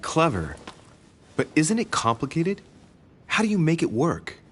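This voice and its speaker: A man's voice: a little high, wildly swooping pitch, measured pace, fairly bright tone, breathy edge, exaggerated voice. An actor playing an earnest, persistent male investigator in a dramatic video game, speaking in an emphatic, probing, often exasperated way. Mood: probing, intrigued.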